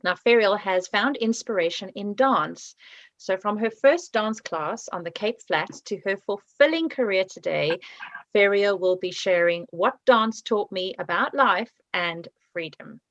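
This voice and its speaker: A woman's voice: mid-range voice, animated, a little slow, fairly bright tone, no echo, highs cut off. A South African woman with an accent gone partly British, hosting an online talk series and introducing the speakers upbeat. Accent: South African, slightly British. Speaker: host.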